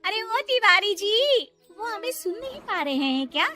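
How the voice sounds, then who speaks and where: A woman's voice: very high-pitched, big pitch swings, unhurried, pushed and bright, soft background music, voice pushed up, heavily acted. A woman voicing an anxious but feisty village mother in a children's cartoon, urgent and exclaiming. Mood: alarmed.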